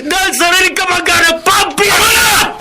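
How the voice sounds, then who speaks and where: A man's voice: extremely high pitch, a little fast, noisy background. An actor with a Filipino accent playing an enraged, heartbroken young son reproaching his father in a radio drama. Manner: shouting, anguished, reproachful.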